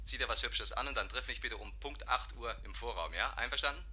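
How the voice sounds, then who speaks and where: An actor playing a glib, flattering suitor with a slippery, wheedling way of talking in a 1950s German radio drama. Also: male voice, medium pitch, fairly quick, breathy edge. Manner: wheedling, flippant. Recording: vintage 1950s radio production.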